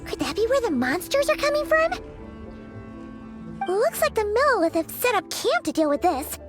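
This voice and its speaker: Squeaky, excitable little fairy sidekick. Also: female voice, moderate pace, soft background music. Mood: wary, eager. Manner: exclaiming, chatty, refers to herself by name. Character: tiny excitable childlike female fairy companion, blunt and curious. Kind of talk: video game voice acting.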